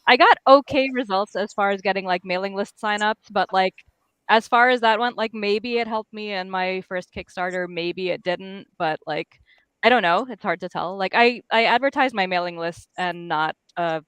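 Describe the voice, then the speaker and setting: A woman's voice: mid-range voice, normal speed, fairly bright tone, clear, resonant tone, dry recording. A woman chatting informally and wryly as a panelist on a casual show. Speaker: panelist, indie creator. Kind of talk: casual chat.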